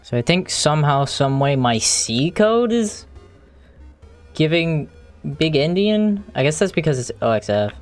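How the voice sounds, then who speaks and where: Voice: medium pitch, very animated. Streamer muttering over music. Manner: thinking aloud, halting, muttering to self. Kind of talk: casual live coding commentary. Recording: music playing behind the voice.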